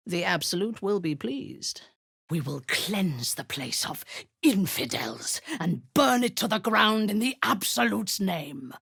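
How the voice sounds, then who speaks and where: An actress voicing a ruthless drow woman commander who leads zealous raids, speaking in a cold, commanding voice with a husky edge that often sinks into a whisper. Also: mid-range voice, fairly bright tone, no echo, studio quality, exaggerated voice. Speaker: game character. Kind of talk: video game voice lines.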